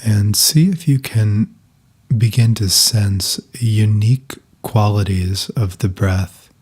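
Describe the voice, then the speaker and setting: A man leading a guided meditation in a soft, calm, breathy voice, giving gentle instructions with long pauses. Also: on the low side.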